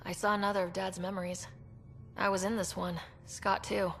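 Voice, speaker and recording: A woman's voice: medium pitch, level tone, moderate pace, creaky, a bit bright, in a large hall, faint music behind. An actress playing a young woman explorer in a video game, wry and easygoing, with a dry sense of humor. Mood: subdued. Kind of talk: video game cutscene acting.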